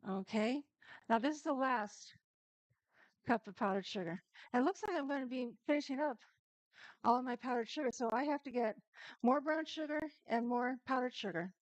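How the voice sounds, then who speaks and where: A woman's voice: sits high, moderate pace, no room sound, poor audio. A woman hosting a casual live-stream cooking demo, chatting straight to her viewers and laughing easily.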